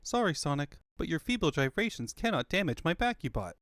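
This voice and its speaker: A man's voice: on the high side, very animated, fairly quick, a bit bright, no room sound, studio quality. A man voicing a small, pompous genius fox boy with wordy, pedantic speech for a comic fandub. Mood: condescending. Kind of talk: comic fandub character acting.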